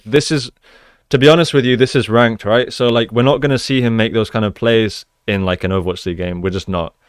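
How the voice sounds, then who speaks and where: A man's voice: on the low side, smooth, no room sound. A man giving casual, conversational commentary as he analyses gameplay like a coach, explaining each move.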